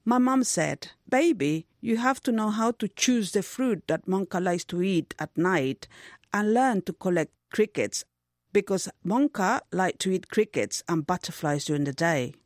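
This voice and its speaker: A woman with a mid-pitched voice reads a first-person story as a voice-over interpreter. The sound is dry, with very little room on it. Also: animated, a little slow, a little mellow, no echo.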